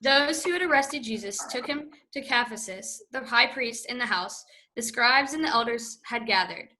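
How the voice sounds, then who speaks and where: A woman narrating scripture in a church with a steady, careful reading. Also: fairly high pitch, normal speed, a little hiss or hum, low-quality recording.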